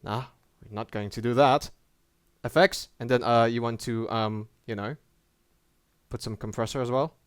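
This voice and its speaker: A man presenting a casual app tutorial as a voice-over, with halting, informal and hesitant phrasing. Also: medium pitch, fairly even intonation, clear, resonant tone, no room sound, studio quality.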